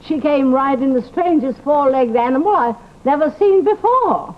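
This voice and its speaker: An actress playing a nagging, excitable housekeeper in old-time radio drama, with a scolding, chattery manner. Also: female voice, sits high, normal speed. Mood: flustered. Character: nagging, excitable female housekeeper, outspoken and exasperated. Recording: muffled, noisy old radio recording.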